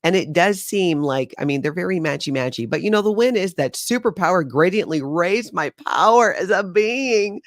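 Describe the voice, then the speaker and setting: A middle-aged woman from Hawaii hosting a casual livestream, talking in a chatty, animated way with incredulous asides. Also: on the low side, normal speed, few pauses, no echo, clean studio sound. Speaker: channel host.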